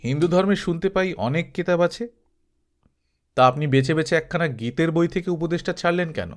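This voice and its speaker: A man's voice: on the high side, moderate pace, voice pushed up, lightly acted. A man reading the part of a hospitable, wry police inspector in an audiobook travelogue, with a teasing, rhetorical manner. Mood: amused disbelief.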